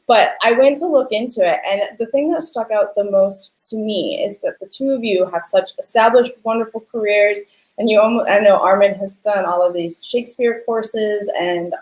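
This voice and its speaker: A woman's voice: mid-range voice, a little mellow. A woman hosting a conversational panel interview, effusive in how she talks. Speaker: host, interviewer. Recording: echoey room sound.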